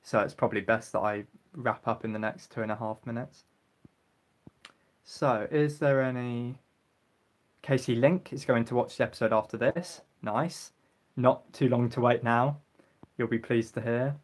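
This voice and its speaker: A young man chats casually on a live stream, reading comments aloud and answering each one. His voice sits at an ordinary pitch, and he keeps filling pauses with ums. His throat is getting sore, and now and then he clears it. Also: steady tone. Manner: chatty, frequent ums, clears throat and coughs.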